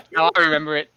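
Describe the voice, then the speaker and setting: A man's voice: a little high, sharp tone, smooth, no room sound, muffled recording. A man casually running an online tabletop game as game master. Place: online voice call.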